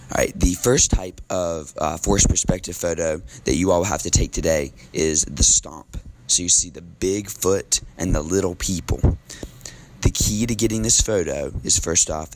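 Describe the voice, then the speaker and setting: A man teaching a recorded classroom lesson while losing his voice, talking in a relaxed, casual way with frequent ums. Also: deep voice, rough recording.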